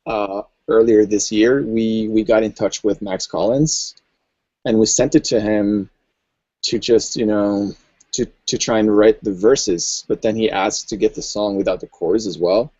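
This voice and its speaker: A man, a music producer, explaining things thoughtfully in an interview with many pauses and ums. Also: fairly low pitch, fairly even intonation, mellow, dry recording, dull audio. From Norway. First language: Norwegian. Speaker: electronic music producer, guest. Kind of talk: casual interview chat.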